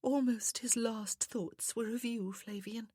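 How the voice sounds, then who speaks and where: A woman voicing a soft, sweet, tearfully reproachful young widow in a period romance audiobook. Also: a little high, fairly even intonation, a little slow, breathy edge, dry recording, studio quality, voice pushed up. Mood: tearful regret.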